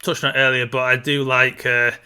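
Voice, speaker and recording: A man's voice: mid-range voice, level tone, fairly quick, bright, forceful tone, smooth. A man co-hosting a casual film discussion, talking conversationally. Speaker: co-host of a video game film discussion show.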